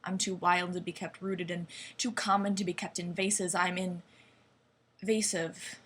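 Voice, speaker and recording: A woman's voice: on the low side, fairly bright tone. Young woman performing slam poetry, noisy recording. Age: young adult. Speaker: slam poet. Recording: clearly noisy amateur recording.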